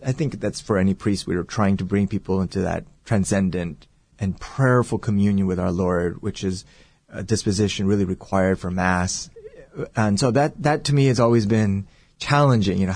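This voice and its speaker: A man who is a priest, talking earnestly and reflectively in an interview and sometimes pausing to search for words. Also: fairly low pitch, darkish tone, dry recording. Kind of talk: casual interview talk.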